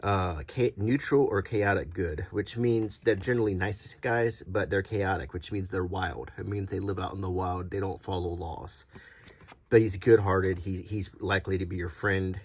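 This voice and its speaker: Husky, low-voiced middle-aged man. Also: steady tone. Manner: halting, rambling, conversational. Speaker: YouTube host showing vintage tabletop game monster cards. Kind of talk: casual unscripted show-and-tell.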